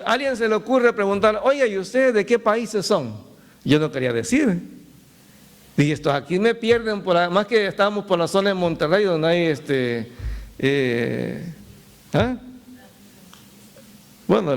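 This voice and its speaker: High-voiced pastor preaching. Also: male voice, expressive, unhurried. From El Salvador. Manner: storytelling with laughs and direct appeals to the congregation. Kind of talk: sermon.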